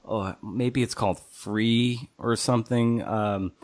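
A man's voice: mid-range voice, steady tone, takes it slowly, no room sound. A man hosting a casual podcast interview, asking questions in a tentative, roundabout way.

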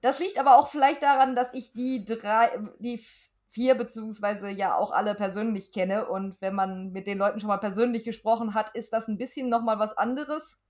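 A woman's voice: fairly high pitch, steady tone, moderate pace, darkish tone. A middle-aged woman, chatting casually and frankly as a podcast guest, with emphatic wording. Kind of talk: casual chat.

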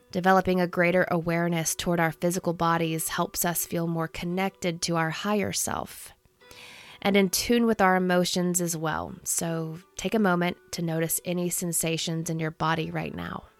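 Low-pitched, creaky-voiced woman guiding meditation. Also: no echo. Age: 30s. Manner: soothing, gentle, unhurried instruction. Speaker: meditation guide. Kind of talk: guided meditation.

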